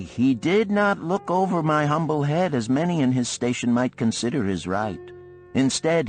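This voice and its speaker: A man voicing an earnest, animated musician of ancient Babylon in a storybook parable, with archaic, oratorical phrasing. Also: mid-range voice, voice pushed up. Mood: admiring, excited.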